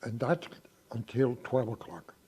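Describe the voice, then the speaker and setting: A man in his nineties giving an oral history interview, reminiscing in a halting way with frequent pauses and restarts. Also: medium pitch, lively intonation, mellow, a little hiss or hum. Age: 90s.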